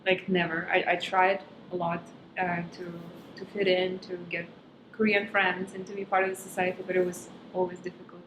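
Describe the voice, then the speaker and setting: A woman's voice: lowish voice, flat delivery, normal speed. A young woman from Kazakhstan whose first language is Russian, chatting casually as a guest and searching for words with frequent ums. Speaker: stand-up comedian, guest. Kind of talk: casual interview chat. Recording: echoey room with background noise.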